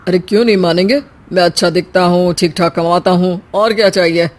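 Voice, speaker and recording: A woman voicing a young village man who moves to the big city, in an animated story. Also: lowish voice, brisk, voice pushed down, natural voice. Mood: earnest.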